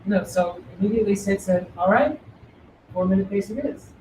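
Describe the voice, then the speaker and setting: A man's voice: high voice, brisk. A middle-aged man, a running coach, talking animatedly to a live audience and asking them questions. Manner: animated, asks the audience, jokey. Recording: roomy hall, distant voice, faint noise.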